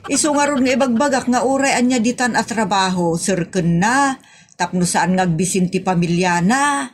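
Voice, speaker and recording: A woman's voice: medium pitch, lively intonation, normal speed, husky. A woman with an Ilocano accent playing an exasperated, easily embarrassed wife and mother who scolds in a comedy audio drama. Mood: complaining, worried.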